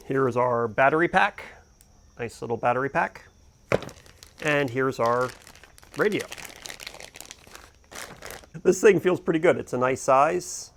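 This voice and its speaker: A man's voice: medium pitch, unhurried, gravelly, long pauses, fairly bright tone, slight background noise. A man hosting a boating gear review, talking casually and off the cuff as he thinks aloud. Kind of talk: casual unboxing and review talk, unscripted.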